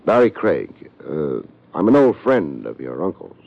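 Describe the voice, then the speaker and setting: A man's voice: extremely low pitch, big pitch swings, a little slow, creaky, exaggerated voice. An actor playing a dry, wisecracking private detective who narrates his own case in an old radio drama, on a muffled old recording. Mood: wry. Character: wisecracking hard-boiled male private detective. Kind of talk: 1940s radio drama acting.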